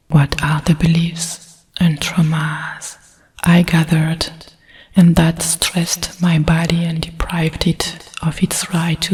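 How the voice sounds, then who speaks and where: Whispering French-accented woman, slow and hushed. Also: sits low, rough recording. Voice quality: breathy whisper. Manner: soothing, measured, deliberate. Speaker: ASMR meditation guide. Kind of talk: guided meditation ASMR.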